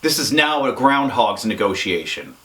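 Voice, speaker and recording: A man playing a gruff, stubborn police negotiator boss with a curt, dismissive manner in a comedy sketch. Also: lowish voice, very animated, moderate pace, sharp tone.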